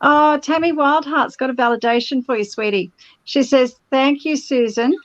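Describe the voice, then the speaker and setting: A woman's voice: high voice, moderate pace, no echo, lo-fi sound. Effusive woman hosting a psychic show. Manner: effusive, reads out viewer messages. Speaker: show host.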